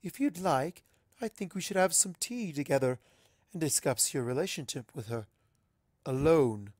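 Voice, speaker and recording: A man voicing a gracious, dignified adult pony princess with formal, measured politeness. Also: on the high side, lively intonation, darkish tone, no room sound, studio quality. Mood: gracious, wry.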